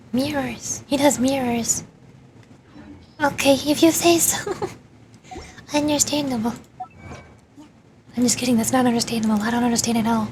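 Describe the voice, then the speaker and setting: A woman's voice: high-pitched, a little mellow, a little hiss or hum. A woman doing casual live game commentary and chatting with viewers in a playful, self-deprecating way. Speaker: VTuber game streamer. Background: game music.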